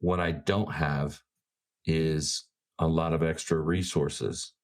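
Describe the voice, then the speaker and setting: A man's voice: rumbling low, steady tone, slow. A man playing a tabletop game, improvising casually in his own voice.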